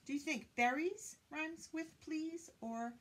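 An older woman reads a picture book aloud to young children, counting in a deliberate singsong and prompting them with questions. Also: high-pitched. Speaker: children's teacher reading aloud. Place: indoors, small room lined with bookshelves.

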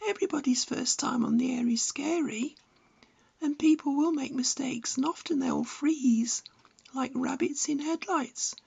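Old lady in her 70s reading out how-to advice, high creaky voice, measured and precise. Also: a little mellow. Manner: measured, didactic, precise phrasing. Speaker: amateur radio enthusiast and instructor. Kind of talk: scripted narration giving practical advice.

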